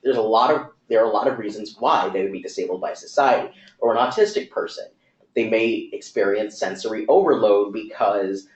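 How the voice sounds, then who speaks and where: A man explaining his views in a conversational talk, speaking in quick run-on sentences with fillers. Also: lowish voice. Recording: echoey room, dull lo-fi sound.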